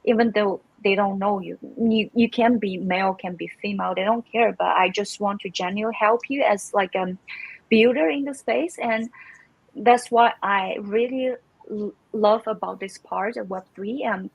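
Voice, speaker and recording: Husky-voiced woman, native Chinese speaker. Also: medium pitch, no room sound, lo-fi sound. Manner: halting, many restarts and repeated words, laughs easily. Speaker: guest, community manager. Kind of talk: casual panel chat.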